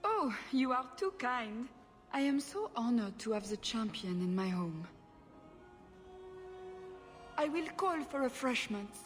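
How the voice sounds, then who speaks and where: A woman's voice: a little high, very animated, moderate pace, soft background music. A woman playing a fussy, gushing noblewoman and doting mother with a French accent in a video game. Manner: gushing, fluttery. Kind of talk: video game cutscene acting.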